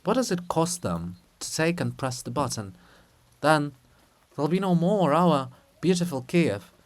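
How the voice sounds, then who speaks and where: A man's voice: fairly high pitch, animated. Man playing a mournful, anguished old queen. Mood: anguished dread. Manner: slow, mournful, lamenting delivery. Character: anguished old woman, grieving statue of a queen. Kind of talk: dramatic reading of a stage play.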